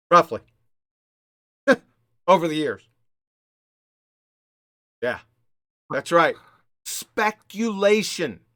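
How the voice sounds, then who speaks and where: A man's voice: high voice, pushed and bright, no echo, studio quality. A man hosting a live panel commentary, mocking and emphatic, hammering home short repeated phrases. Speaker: host of a live debunking show.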